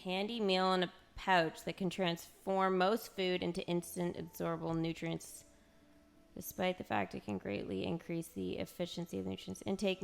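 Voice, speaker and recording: A woman game streamer giving casual, unhurried commentary, thinking aloud as she plays. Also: lowish voice, gravelly, fairly bright tone, smooth.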